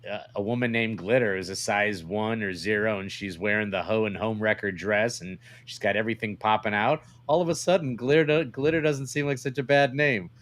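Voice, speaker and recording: A man's voice: on the low side, steady tone, brisk, hardly any pauses, fairly bright tone. A man co-hosting a podcast in casual, bantering chat, crude and joking, often questioning his partner. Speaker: podcast co-host.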